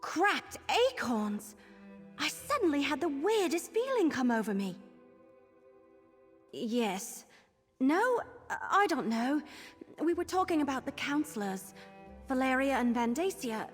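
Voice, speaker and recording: Earnest haunted young woman from a fantasy game, highish voice, hesitant, music behind. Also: very animated, slow, long pauses, exaggerated voice. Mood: unsettled. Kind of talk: fantasy video game voice acting. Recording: long hall reverb, game music behind.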